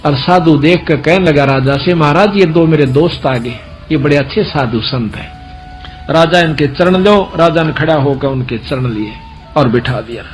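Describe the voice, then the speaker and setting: A man's voice: a little high, moderate pace, faint music behind. A man with a Haryanvi accent preaching through a folk story, telling it colloquially and voicing the dialogue as reported speech. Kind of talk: religious discourse told as a folk story.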